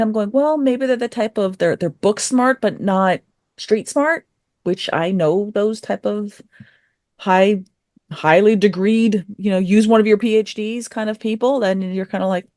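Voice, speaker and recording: A woman's voice: medium pitch, darkish tone, no room sound. Meandering woman in casual chat, dry sound. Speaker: podcast panelist reviewing audio dramas.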